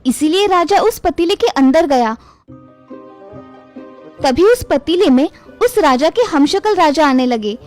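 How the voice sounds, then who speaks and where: A woman's voice: high voice, expressive, a little slow, pauses often, darkish tone, soft background music. A woman reading a scripted moral tale for children as the narrator.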